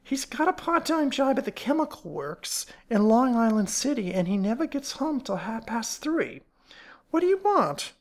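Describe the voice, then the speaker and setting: A woman's voice: fairly high pitch, big pitch swings, moderate pace, voice pushed up. A woman voicing a stout, suspicious, fretful working-class caretaker's wife with colloquial, uneducated speech, in a storybook reading. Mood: stolid, suspicious. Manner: stolid, colloquial uneducated speech, stammers when scared.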